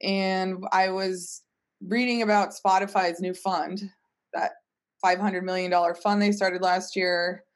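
Woman chatting casually, medium pitch. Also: steady tone, unhurried. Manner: informal, frequently says "like". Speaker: company CEO and podcast guest. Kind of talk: casual interview talk.

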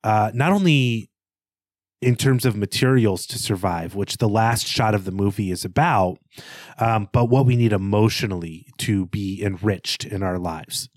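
A man's voice: lowish voice, unhurried, no room sound, studio quality. A man co-hosting a podcast, discussing film in a casual, reflective and earnest way. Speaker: podcast co-host.

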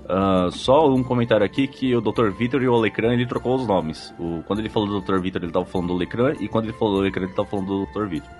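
A man's voice: fairly low pitch, racing, hardly any pauses, a little mellow, faint music behind. A man with a Brazilian accent chatting casually as a podcast co-host, explaining things in a colloquial way. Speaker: podcast co-host and game master. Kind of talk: casual chat answering listener feedback.

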